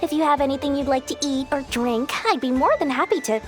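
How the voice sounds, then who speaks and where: A woman voicing a small, girlish, money-minded merchant in a video game, with an effusive, flattering and theatrical manner. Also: sits high, fast, music in the background, close to an everyday voice. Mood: fawning. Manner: effusive, flowery salesperson's patter. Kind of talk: animated video game voice acting.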